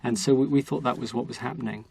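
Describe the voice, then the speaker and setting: A man's voice: medium pitch, steady tone, creaky, a little mellow, breathy edge. A man with a Southern English received-pronunciation accent, speaking thoughtfully as an interview guest. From England. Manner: thoughtful, measured, with fillers and restarts. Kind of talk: relaxed conversational interview.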